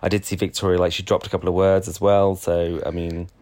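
A man with a low voice co-hosts a casual podcast. He teases his partner, makes jokes and laughs often while they recap TV. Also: little pitch movement, fast talker, a little mellow. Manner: teasing, joking, laughs often. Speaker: podcast co-host.

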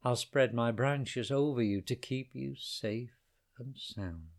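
A man voicing an old, gentle male tree in a spoken storybook poem, talking softly with a sigh. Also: medium pitch, takes it slowly. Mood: tender. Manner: soft, sighing.

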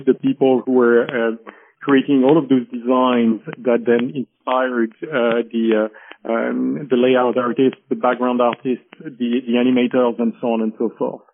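A man speaks down a thin, phone-like call line with a faint hiss. He talks haltingly, stacking fillers and restarts as he explains. His pitch sits in a middle range for a man. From France. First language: French. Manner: halting, many fillers and repeated words. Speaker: guest, animation historian. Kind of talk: interview over a call.

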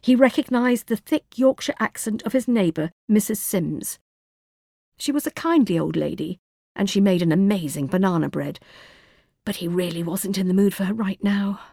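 A woman narrating fiction as an audiobook reader, in a measured, expressive storytelling manner. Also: medium pitch, creaky, dry recording, clean studio sound.

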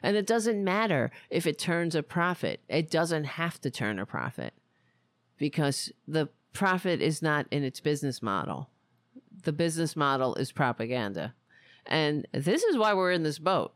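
Wry host with very lively, swooping pitch. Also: normal speed, no echo, studio quality. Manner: wry, self-mocking, exasperated. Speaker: host of a political talk show. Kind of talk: casual rambling political commentary.

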